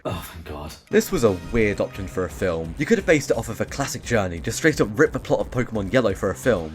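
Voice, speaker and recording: A young man giving a sarcastic, scripted comedic film review as host, with some swearing. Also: on the low side. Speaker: video essay host.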